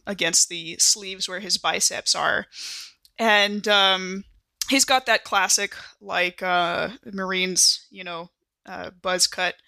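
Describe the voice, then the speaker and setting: A woman's voice: mid-range voice, sharp tone, no echo. A woman speaking casually and enthusiastically as a player in a tabletop role-playing game, improvising narration in an informal, chatty way.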